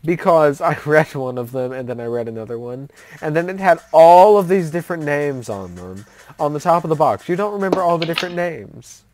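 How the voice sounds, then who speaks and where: A woman's voice: low-pitched, lively intonation, fairly quick, darkish tone, a little hiss or hum. A young woman hosting a casual voice-chat story session, telling a story with many fillers and restarts. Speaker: host of a story-time voice chat. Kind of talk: casual storytelling over voice chat while gaming.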